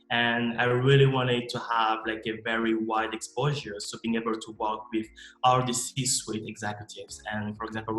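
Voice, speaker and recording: A man's voice: medium pitch, fairly bright tone. Man in an echoey room. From Cameroon. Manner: measured, explanatory, frequent restarts. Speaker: MBA student guest. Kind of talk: interview answers. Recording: echoey room with faint music.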